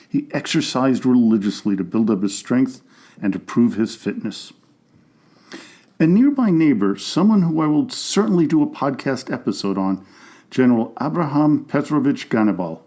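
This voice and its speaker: Mellow-voiced man narrating history. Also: medium pitch. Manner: reads steadily from a script with an even, informative delivery. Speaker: history podcast host.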